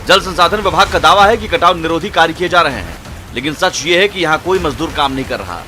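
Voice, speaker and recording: A man's voice: a little high, animated, fairly quick, sharp tone, soft background music. A man narrating a scripted television news report.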